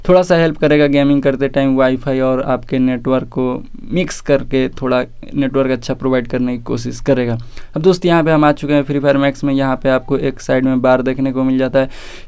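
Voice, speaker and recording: An Indian man presents a tech walkthrough in an informal, conversational way. His voice is smooth and clear at a middling pitch, with little melodic movement. He talks straight to his viewers. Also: darkish tone, no echo. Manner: informal, addresses viewers directly. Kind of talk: casual phone feature walkthrough.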